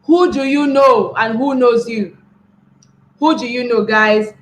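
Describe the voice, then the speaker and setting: A woman's voice: a little high, slow pace. A young Nigerian woman giving a motivational webinar talk, repeating key phrases and checking in with her listeners by asking "right?". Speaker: webinar speaker. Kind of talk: motivational talk to an online class. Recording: echoey room with faint background noise.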